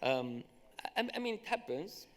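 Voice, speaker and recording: A mathematician speaking on a symposium panel, answering questions in a hesitant way with many restarts and fillers. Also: male voice, sits high, sing-song, measured pace.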